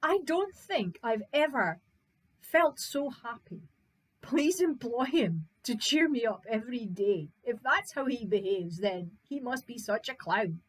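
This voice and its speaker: A young, breathless baron's daughter from a folk tale, as a woman storyteller puts her on. Her voice is high and lifted, and she pleads eagerly. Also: animated, unhurried, slightly rough, rough recording. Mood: overjoyed. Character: young gloomy girl, newly joyful.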